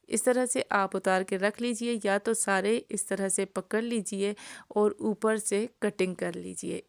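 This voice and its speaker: A woman's voice: medium pitch, smooth, no echo. A woman with a sore throat giving a home cooking tutorial as a voice-over, explaining each step to her viewers in a friendly way. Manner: friendly instructional, addresses viewers directly. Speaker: cooking channel host. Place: home kitchen, voice-over recorded separately.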